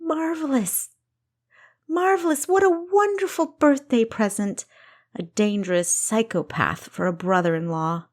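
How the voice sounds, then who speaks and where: A woman's voice: a little high, animated, a little slow, pitched above the performer's own voice. A woman reading a storybook voices a furious, sarcastic younger sister who snarls her words. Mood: sarcastic shrill.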